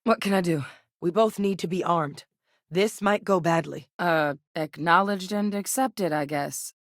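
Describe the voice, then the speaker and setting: A woman voicing a steady, serious woman officer in a video game, speaking in firm, clipped lines. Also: lowish voice, a little slow, dry recording, clean studio sound. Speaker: game character voice.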